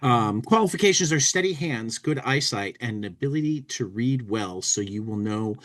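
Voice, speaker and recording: A man's voice: mid-range voice, expressive. A man co-hosting a casual talk show, commenting and reading aloud, often repeating words as he works out a thought. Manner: halting, repeats words while working out a thought. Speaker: podcast co-host.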